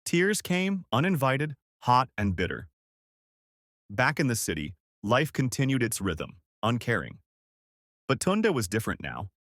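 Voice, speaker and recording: A man's voice: medium pitch, sing-song, a little slow, a bit bright, no room sound, studio quality. A man narrating a scripted story as a storyteller.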